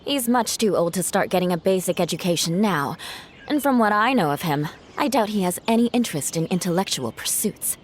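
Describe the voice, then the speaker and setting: A woman's voice: mid-range voice, lively intonation, a little fast, a little hiss or hum, close to an everyday voice. An actress playing a proud, composed young woman knight with a dry, haughty manner, in a game. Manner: poised, dryly haughty. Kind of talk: game voice acting.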